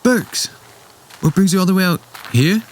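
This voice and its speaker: A man's voice: high-pitched, animated, normal speed, slight background noise. A man playing a friendly, eager young inventor in an audio drama, full of curiosity. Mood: pleased surprise. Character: friendly, eager young robotics genius in coveralls.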